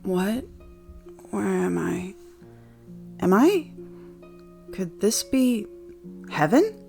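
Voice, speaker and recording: An actress playing an excitable, blunt loner newly arrived in the afterlife, exclamatory and frank, in a comic audio play. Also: female voice, mid-range voice, drawn-out words, vocal fry, faint music behind, full character voice. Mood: bewildered. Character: newly dead animal-loving woman, excitable, blunt loner. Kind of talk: comic audio drama acting.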